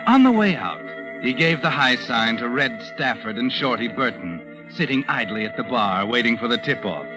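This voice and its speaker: A man's voice: mid-range voice, lively intonation, lightly acted, low-quality recording. Ominous radio mystery narrator played by a man, music underneath. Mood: wry storytelling. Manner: measured, dramatic, knowing. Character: sinister all-knowing male mystery narrator, dry and ominous. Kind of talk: 1940s radio suspense narration.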